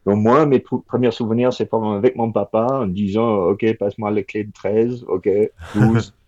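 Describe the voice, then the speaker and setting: A man from Ireland, an English speaker talking as a podcast guest in relaxed, chatty French. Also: fairly low pitch, moderate pace, dull audio. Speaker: guest, automotive engineer. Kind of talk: podcast interview.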